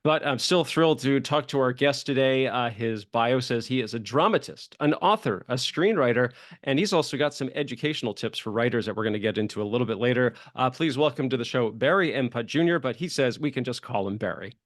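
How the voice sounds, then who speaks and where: A man's voice: mid-range voice, a little fast, hardly any pauses, no echo, clean studio sound. A man hosting a podcast in a casual, chatty, enthusiastic conversational interviewing style. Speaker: podcast host.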